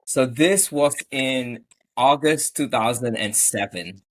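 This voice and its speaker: A man's voice: medium pitch, slow pace, fairly bright tone. A man in his thirties from Malaysia, answering interview questions conversationally as a podcast guest, in an earnest, storytelling way. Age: 30s. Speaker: podcast guest, immigration attorney.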